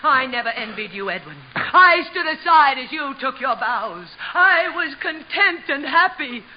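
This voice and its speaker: High-voiced, long-suffering theatrical wife. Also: female voice, lively intonation, unhurried. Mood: dignified, wounded. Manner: theatrical, patient, hesitant coaxing. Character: long-suffering wife, dignified former stage leading lady. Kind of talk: old-time radio situation comedy acting. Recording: muffled, hissy old-time radio recording.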